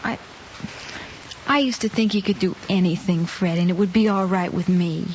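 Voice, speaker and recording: A woman's voice: on the low side, normal speed, a little mellow, close to an everyday voice. An actress playing a timid, apologetic teenage girl student in an old screen drama, speaking in a hesitant, pleading way. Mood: hesitant, upset. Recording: dull old film soundtrack, some noise.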